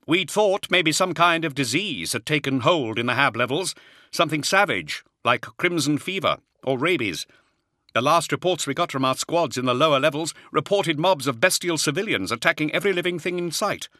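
A man voicing a wiry male army colonel who reports in a loud, strained voice. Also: fairly high pitch, animated, moderate pace, dry recording, studio quality, voice pushed up. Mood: urgent. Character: urgent male soldier colonel, wiry, shouting.

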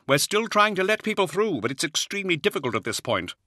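A man voicing a wiry male army colonel who reports in a loud, strained voice. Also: high-pitched, very animated, quick pace, dry recording, studio quality, pitched above the performer's own voice, full character voice. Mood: urgent. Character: urgent male soldier colonel, wiry, shouting.

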